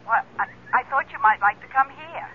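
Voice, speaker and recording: A woman's voice: high-pitched, moderate pace, close to an everyday voice. Assured persuasive woman played by an actress, genteel, coaxing, radio play, thin phone-like sound, light hiss. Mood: cordial. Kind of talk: 1970s radio drama acting. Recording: tinny telephone-like old radio, faint hiss.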